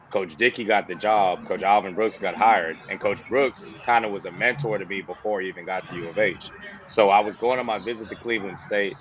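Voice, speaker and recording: A man in his thirties from the Bahamas, a former player turned coach, telling stories in a relaxed, slangy way with a toned-down Bahamian accent. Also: deep voice, slight background noise, rough recording. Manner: casual, slangy, says "bro" and "you know". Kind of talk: relaxed interview storytelling.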